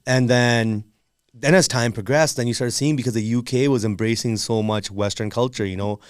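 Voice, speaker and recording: A man chatting casually as a podcast guest, telling stories in an animated, informal way with many "like"s and "you know"s. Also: mid-range voice, moderate pace, smooth, dry recording, studio quality. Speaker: podcast guest, music producer. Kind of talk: casual conversational storytelling.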